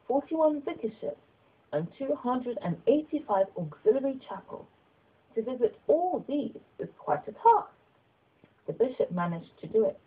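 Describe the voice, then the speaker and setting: A woman reading literary narration aloud as an audiobook reader, with a slightly husky voice. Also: fairly high pitch, narrow, tinny audio.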